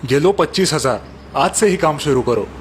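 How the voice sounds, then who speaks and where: Man playing brisk wealthy gentleman, higher-voiced. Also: animated, slight background noise, natural voice. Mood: pleased. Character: wealthy, generous, commanding school owner.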